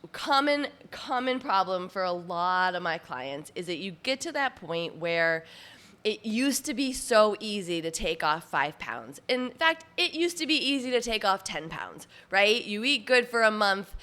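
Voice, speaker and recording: A woman's voice: medium pitch, animated, a little slow, sharp tone. A woman in her late forties hosting her own podcast as a personal trainer, talking casually with lots of fillers and little laughs, sounding a bit nasal from allergies. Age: 40s. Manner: chatty, laughs often, many fillers. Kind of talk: casual solo podcast talk.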